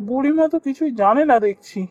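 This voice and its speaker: Young fairy-tale prince: high-pitched, courteous, earnest, for animation. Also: male voice, quick pace, darkish tone, no echo, muffled recording. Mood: determined. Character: earnest, polite young prince posing as villager.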